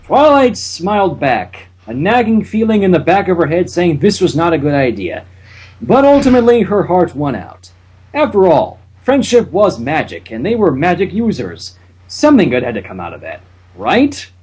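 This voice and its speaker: Male host reading aloud, husky, lively pitch, wry asides between passages. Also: moderate pace. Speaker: channel host and reader. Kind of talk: casual fan fiction reading.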